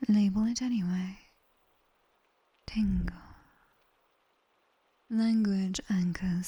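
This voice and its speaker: A woman plays a female nightmare demon tutor with a British accent. She whispers in a very breathy, softly dark tone, extremely unhurried. Her ASMR instruction is coaxing and dryly teasing, delivered close to the mic. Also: mid-range voice, heavily acted, low-quality recording. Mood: coaxing, firm. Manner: slow, dry, teasing instruction. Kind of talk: ASMR roleplay, guided body scan. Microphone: close binaural microphone.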